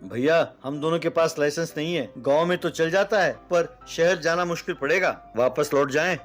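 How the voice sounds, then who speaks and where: A man's voice: on the high side, moderate pace, faint music behind, natural voice. Man playing a humble, earnest young village worker. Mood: pleading. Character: two humble, kind young village factory workers, worried borrowers.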